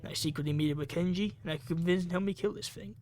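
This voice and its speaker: Higher-pitched man narrating a visual novel. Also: rapid-fire, darkish tone, faint music behind. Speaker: gaming video creator. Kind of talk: reading a visual novel aloud, quiet ASMR-like narration with side comments.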